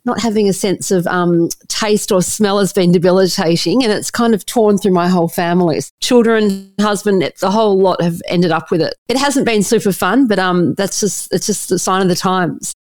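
A woman, slightly husky while recovering from illness, speaking as an interview guest on a remote call, earnest and thoughtful with many restarts. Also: medium pitch, moderate pace, hardly any pauses, darkish tone, no room sound. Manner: earnest, talks in long thoughtful sentences with restarts. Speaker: interview guest, property editor.

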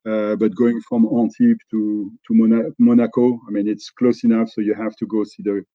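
A middle-aged man from France with a French accent, talking in a relaxed interview and often restarting his sentences. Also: fairly low pitch, no room sound, lo-fi sound. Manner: halting, many restarts and fillers. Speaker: company executive guest.

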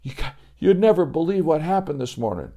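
A frightened young boy , put on by a man with a raised, jittery voice. He stammers when scared and speaks slowly, with a gravelly texture underneath. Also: fairly high pitch, mellow, pitched above the performer's own voice. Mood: excited. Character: scared young boy Joey, jumpy and high-strung.

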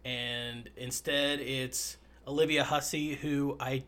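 A man's voice: mid-range voice, fairly even intonation, slow, bright, forceful tone. A man co-hosting a podcast, chatting casually about a film, with fillers and casual swearing. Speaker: podcast co-host.

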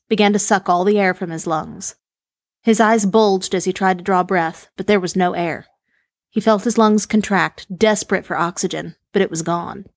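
A woman reading a short horror story aloud in steady, measured narration. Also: medium pitch, no echo, studio quality. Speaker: story reader.

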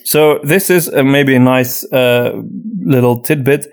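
A man's voice: medium pitch, steady tone, unhurried, clear, resonant tone, soft background music. A man from Flanders with a Flemish accent, a native Dutch speaker, talking casually as a podcast co-host and repeating words as he thinks. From Flanders, Belgium. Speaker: podcast co-host and audio drama writer. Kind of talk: casual conversational Q&A.

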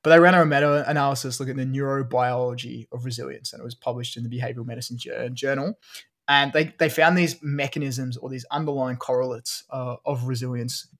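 A man's voice: medium pitch, fairly even intonation, brisk, fairly bright tone. A man with an Australian accent, co-hosting a casual science podcast and explaining research with frequent fillers. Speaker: podcast co-host.

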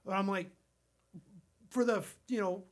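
A man's voice: high-pitched, fairly even intonation, unhurried. A man hosting a crude comedy podcast, chatting casually in a rambling, repetitive way and swearing freely. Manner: rambling, repeats himself, swears. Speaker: podcast host.

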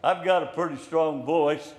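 An older man preaching an informal, encouraging sermon in a slow, plainspoken way. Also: fairly high pitch, steady tone, slight background noise. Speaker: pastor. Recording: echoey room, hall reverb.

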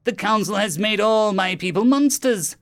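A man's voice: sits high, normal speed, fairly bright tone, voice pushed up. A man voicing a proud, menacing alien captain in an audiobook, formal and sneering, bitter and grandiose. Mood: bitter rage. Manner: formal, sneering, theatrical delivery.

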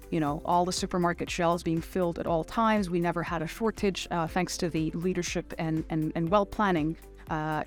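Low-pitched middle-aged woman, interview guest. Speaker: interview guest, finance executive. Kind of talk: conversational interview answers.

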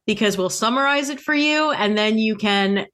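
A woman's voice: fairly high pitch, normal speed, fairly bright tone. A woman co-hosting a podcast in a casual style, friendly and playful as she talks. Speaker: podcast co-host.

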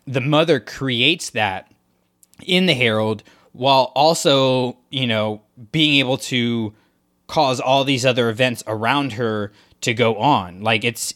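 A man's voice: medium pitch, fairly bright tone. A man co-hosting a casual discussion podcast, talking things through in a thoughtful, analytical way with frequent restarts. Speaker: podcast co-host.

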